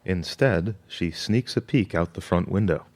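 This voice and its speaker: Male actor as radio drama narrator, deep pitch, measured scripted delivery. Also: a little mellow. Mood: matter-of-fact.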